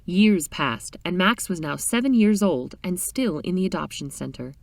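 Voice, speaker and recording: A woman reading a short story aloud in an expressive, dramatic storytelling style. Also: on the low side, normal speed, creaky, no echo, far from the mic. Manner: animated, dramatic reading with character voices.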